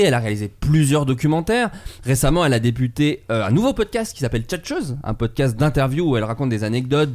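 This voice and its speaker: A man hosting a casual comedy podcast in French, joking and laughing often. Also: medium pitch. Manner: lively, jokey, laughs often. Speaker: podcast host.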